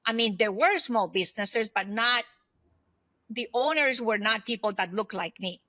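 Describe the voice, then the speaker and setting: Woman, fifties, bright tone, fairly high pitch, earnest, explanatory, conversational interview. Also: lively intonation, normal speed, no room sound. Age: 50s. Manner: earnest, explanatory, often checks in with "right?". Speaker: podcast guest, consultant and former aerospace executive.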